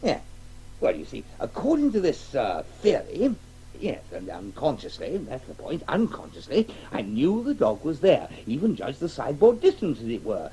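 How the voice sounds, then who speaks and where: A man's voice: a little high, warm tone. An actor playing a chatty, golf-mad father with an English accent in a 1980s radio drama, blustery and digressive, with "eh" and "what" tags. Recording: faintly noisy old radio broadcast.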